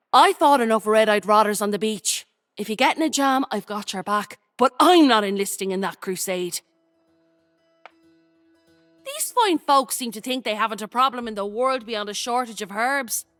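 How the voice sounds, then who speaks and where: An actress playing a cheeky, roguish adventurer in a video game, breezy, wry and a little conspiratorial. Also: female voice, on the high side, fairly bright tone. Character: cheeky, roguish female adventurer, self-interested but friendly. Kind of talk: video game character acting.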